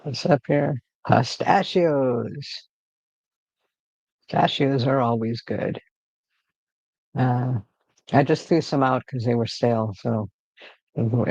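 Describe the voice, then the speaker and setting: A man with a gravelly, creaky voice hosting a relaxed livestream from home. He rambles loosely, with lots of fillers and restarts. The audio is dry and a bit dull and lo-fi. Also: medium pitch, measured pace, pauses often, dry recording. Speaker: livestream host. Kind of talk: casual livestream chat.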